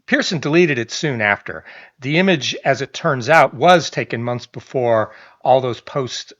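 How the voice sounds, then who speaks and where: A man talking through a news story for his online channel. His voice sits at an ordinary middle pitch, and he mixes reading with loose, chatty asides, stumbling restarts and small chuckles. The audio sounds a little dull and lo-fi. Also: lively intonation, measured pace, a bit bright. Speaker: online commentator. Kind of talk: reading a news article aloud with casual commentary.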